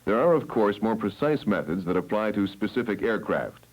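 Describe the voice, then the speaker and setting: A man with an American accent reading scripted training film narration in a measured, instructional way, on an old 1970s film soundtrack. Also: lowish voice, lively intonation, low-quality recording.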